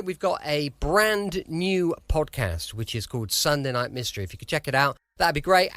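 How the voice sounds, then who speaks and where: A man's voice: fairly high pitch, a bit bright, dry recording. A British man hosting a podcast, giving friendly sign-offs and plugs. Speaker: podcast host. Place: home, dry close recording.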